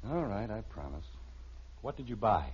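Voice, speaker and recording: A man's voice: lowish voice, big pitch swings, normal speed. An American actor playing an exasperated, sarcastic suburban father and husband in a 1950s radio sitcom, dry and incredulous. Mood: wary, incredulous. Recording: dull, slightly noisy old radio recording.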